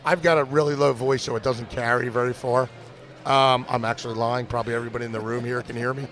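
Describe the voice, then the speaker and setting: A man's voice: medium pitch, fairly even intonation, fast talker. A man doing live sports commentary, blunt and opinionated, often repeating words as he thinks. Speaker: lead commentator.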